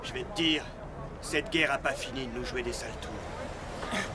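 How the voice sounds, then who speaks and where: A man's voice: medium pitch, measured pace, crowd noise, natural voice. A man dubbing a bitter, blunt American soldier who talks coarsely about the enemy in a war film. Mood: gloomy. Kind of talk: French dub of a war film. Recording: film soundtrack with loud vehicle noise.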